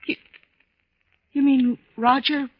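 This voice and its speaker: A desperate wife with a high voice, pleading with urgent melodrama. It is old-time radio horror acting, heard through a dull, lo-fi recording. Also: female voice, level tone, slow. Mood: anxious, questioning. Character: frightened, pleading wife desperate to save her husband.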